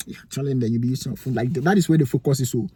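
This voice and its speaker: A man's voice: medium pitch, very fast, warm tone, husky, dry recording. A young man with a Ghanaian accent giving emphatic motivational advice to camera, asking rhetorical questions, indoors in a cold room. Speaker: vlogger giving life advice.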